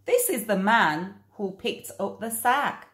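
Very slow, animated woman reading a storybook. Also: medium pitch, roomy sound. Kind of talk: expressive children's picture-book read-aloud.

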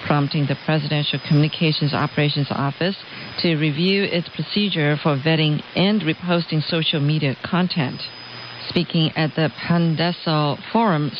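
A man presenting scripted radio news in a formal, measured way, heard over shortwave radio. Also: a little high. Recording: muffled, noisy shortwave radio broadcast.

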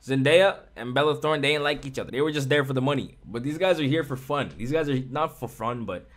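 A young man giving casual, animated reaction commentary to a music video in a small home studio on a large condenser mic. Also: medium pitch, fast talker. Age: young adult. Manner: animated, hyped, chatty, slangy. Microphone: large studio condenser mic, headphones on. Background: faint music from the video.

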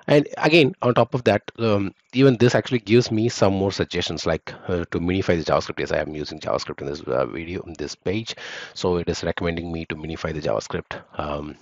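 A man teaching a screen-recorded software tutorial, explaining step by step with many fillers and restarts. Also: sits low, breathy edge, dry recording, dull audio. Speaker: software testing instructor.

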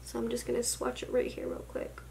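A young woman in her twenties chatting about makeup, gushing and chatty, full of likes and excited exclamations, filmed in a small room at her vanity. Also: a little high, brisk, creaky, slight background noise. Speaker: beauty vlogger. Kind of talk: casual makeup haul chat. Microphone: camera at desk distance.

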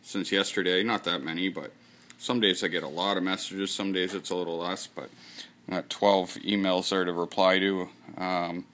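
A man's voice: low-pitched, level tone, normal speed, fairly bright tone. A man hosting his channel giveaway, talking in a rambling, offhand way and swearing casually while he films his computer screen. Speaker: channel host running a giveaway. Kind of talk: casual screen-recorded vlog.

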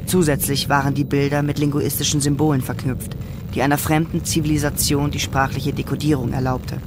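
A man's voice: fairly high pitch, little pitch movement, brisk, noisy background. A man narrating a radio drama in a composed, solemn, scripted manner. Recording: music bed behind the voice.

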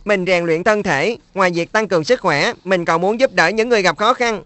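Someone doing a humble cartoon schoolboy, soft reassuring talk, dry studio sound. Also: medium pitch, a little fast, dry recording, lightly acted. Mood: modest calm. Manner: gentle, modest, reassuring. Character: modest diligent schoolboy, top of class. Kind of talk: cartoon dubbing.

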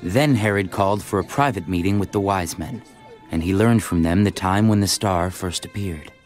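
A man's voice: low-pitched, moderate pace. A man reading scripted narration for a dramatized audio Bible. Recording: faint music behind the voice. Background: music.

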